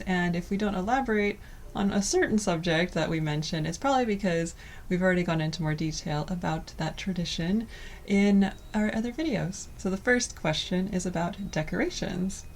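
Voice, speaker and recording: A woman from California in her thirties, co-hosting a cozy lifestyle video in a soft, slow, gentle way. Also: fairly low pitch, a little hiss or hum. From California, USA. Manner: soft, gentle, slow delivery with light laughs. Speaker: co-host of a cottage lifestyle channel. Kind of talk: casual scripted chat to camera.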